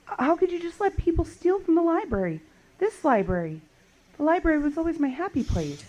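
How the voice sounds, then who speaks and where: A woman playing a curious wandering survivor who loves books, in an audio drama, with an expressive, questioning delivery. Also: very high voice, brisk. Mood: incredulous, indignant. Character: wandering female book lover, curious, pleading, indignant survivor. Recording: clearly noisy background with clicks.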